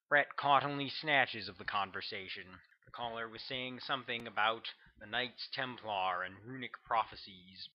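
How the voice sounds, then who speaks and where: Man narrating an audiobook, dull lo-fi sound. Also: lowish voice. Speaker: author reading own audiobook.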